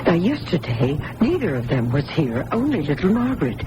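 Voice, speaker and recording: A woman's voice: sits low, very animated, normal speed, dull audio. A woman playing a worried, steadfast aunt in a 1980s radio drama, on a noisy old broadcast recording.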